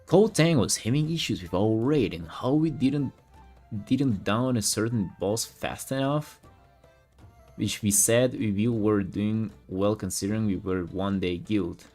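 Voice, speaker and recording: A low-voiced man, a native Russian speaker, chats casually on a livestream as he paints. His talk rambles and halts, full of slang and swearing. Faint music plays behind him. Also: a little slow, a little mellow. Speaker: art streamer.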